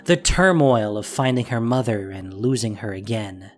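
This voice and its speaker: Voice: mid-range voice, lively intonation, moderate pace. A narrator reading a scripted fantasy story in a dramatic audio drama style.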